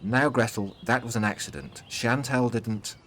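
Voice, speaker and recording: A man voicing a forthright, sharp-tongued older woman with magic powers in a fantasy audio story. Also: medium pitch, moderate pace, a little hiss or hum, voice pushed up, natural voice. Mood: defensive. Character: stern, playful older woman, protective grandmother with magic.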